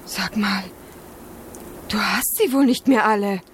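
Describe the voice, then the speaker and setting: An actress playing an indignant, sharp-tongued young girlfriend, accusing and biting, in a German radio drama. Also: medium pitch, a little slow, slight background noise, close to an everyday voice.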